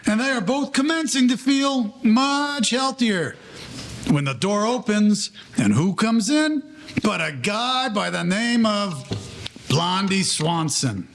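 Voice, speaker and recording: Wry 1940s radio narrator, male actor, colloquial storyteller, animated pitch, unhurried. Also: a little hiss or hum, heavily acted. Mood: wry, storytelling. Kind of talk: present-tense radio play narration.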